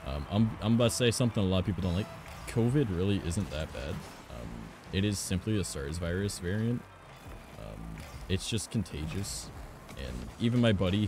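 Raspy low-voiced man chatting while gaming. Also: noisy background. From Canada. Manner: rambling, frequent "like" and "um". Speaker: streamer. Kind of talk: casual chat while gaming. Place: home recording studio.

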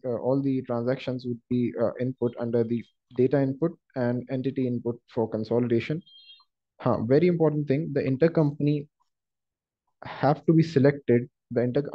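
A man working as a software trainer, explaining a screen-share tutorial step by step in a halting, explanatory way. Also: mid-range voice. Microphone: screen-recording voice-over. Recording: dull lo-fi voice recording.

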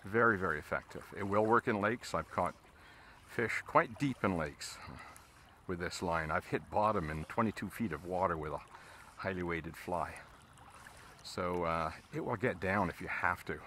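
Man, deep voice, bright tone, relaxed instruction, outdoors on water, faint background noise. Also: normal speed. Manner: relaxed, explains steps as he casts. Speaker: fly fishing instructor. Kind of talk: casual instruction while fishing. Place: outdoors on a river, in a boat.

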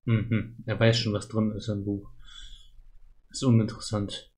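A man's voice: lowish voice, level tone, measured pace, a little mellow. A man talks through a game he is playing. His delivery is casual let's-play chat, as on a stream.

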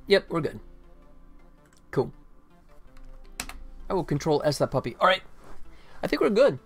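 A man's voice: a little high, sing-song, a little slow, faint music behind. Rambling middle-aged man, casual livestreamer. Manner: rambling, self-deprecating, jokey. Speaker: indie game developer livestreamer. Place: indoor room at a computer. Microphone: desktop microphone.

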